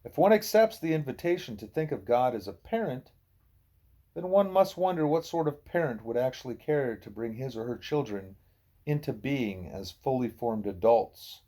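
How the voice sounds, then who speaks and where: A man voicing a wise, patient gorilla teacher who lectures in a deliberate, formal way. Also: mid-range voice, animated, warm tone, close to an everyday voice. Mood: patient lecturing.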